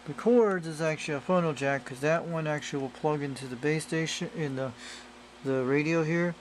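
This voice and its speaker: A man with a slightly high voice talks through a hands-on gadget demo. He explains casually and rambles, often circling back over the same point. It is an amateur camera recording with faint noise behind him. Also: normal speed. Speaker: radio hobbyist presenter. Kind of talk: casual hands-on product demonstration. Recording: noisy amateur camera audio.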